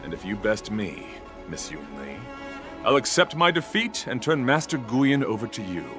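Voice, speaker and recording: A man's voice: on the low side, very animated, normal speed, music in the background. A man playing a chivalrous young knight in a video game, speaking in courtly, flowery, formal phrases with earnest devotion. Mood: solemn, formal. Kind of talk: theatrical video game voice acting.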